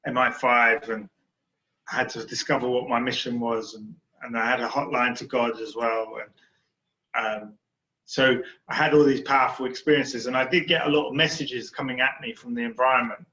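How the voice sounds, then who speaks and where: A man's voice: medium pitch, fairly even intonation, moderate pace, pushed and bright, muffled recording. A man with an English accent telling his story frankly, hesitating often with fillers. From England. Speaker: psychologist and guest. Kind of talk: candid interview storytelling.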